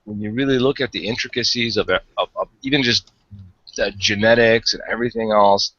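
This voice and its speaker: A man from Hungary talks in a low voice over an online hangout. He rambles, restarts and swears freely. The audio is dry and lo-fi. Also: steady tone, fairly quick, bright, forceful tone, dry recording. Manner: rambling, hesitant, swears often. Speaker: guest caller. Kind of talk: casual chat.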